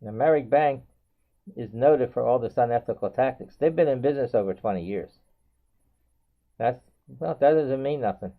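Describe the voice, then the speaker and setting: An older man giving rambling advice in a halting, repetitive way, often correcting himself. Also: medium pitch. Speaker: consumer vlogger. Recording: thin phone-like sound.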